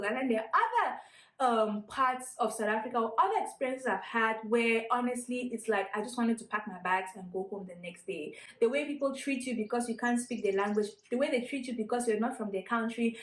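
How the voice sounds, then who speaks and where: Higher-voiced reflective woman from Cameroon, vlog guest, roomy echo. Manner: earnest, reflective, hedging with "honestly" and "like". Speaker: guest vlogger. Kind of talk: casual vlog talk.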